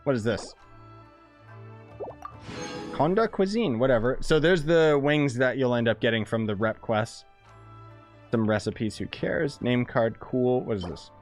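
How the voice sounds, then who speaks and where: A man's voice: medium pitch, unhurried, long pauses. A man giving casual, blunt and slangy live commentary as he streams a video game. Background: game music.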